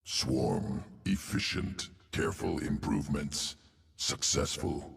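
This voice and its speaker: Gravelly, abyssally deep alien evolution master. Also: male voice, animated, slow pace, full character voice. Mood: clinical, detached. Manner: clipped telegraphic speech without articles. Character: male alien insectoid evolution-master, clinical, terse, cold perfectionist. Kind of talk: sci-fi video game character acting. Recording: game music behind.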